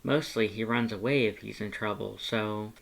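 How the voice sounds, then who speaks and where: A man voicing a gentle, tentative animal shelter worker in a story, speaking softly and politely with some hesitation. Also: on the low side, fairly even intonation, moderate pace, gravelly, poor audio. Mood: cautious. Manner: soft-spoken, hesitant, polite.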